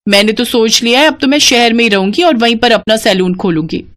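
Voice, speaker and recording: A woman's voice: a little high, a little fast, fairly bright tone, lightly acted. Woman voicing a haughty, boastful young rich girl. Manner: haughty, scornful, bragging. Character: boastful, snobbish young woman, village landlord's daughter.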